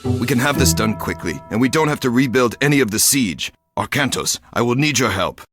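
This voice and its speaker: An actor playing a shrewd, confident ancient war captain in a game cutscene, assured and matter-of-fact. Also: male voice, medium pitch, lively intonation, a little fast, close to an everyday voice. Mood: inspired. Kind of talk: dramatic game cutscene acting. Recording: faint music behind the voice.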